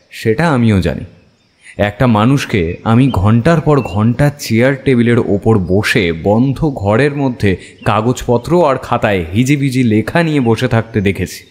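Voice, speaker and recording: A male actor as a detective's companion telling a mystery story. His delivery is wry and very expressive, swinging widely in pitch, with a long reverb around the voice. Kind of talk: dramatic first-person story narration.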